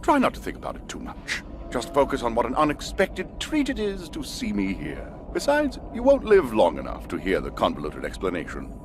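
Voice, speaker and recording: An actor playing a pompous, theatrical, vengeful villain in a cartoon video game, declaiming like a stage actor. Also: male voice, mid-range voice, wildly swooping pitch, fairly quick, few pauses. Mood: smug, menacing. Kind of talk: animated video game cutscene acting. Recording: loud music behind the voice. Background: music.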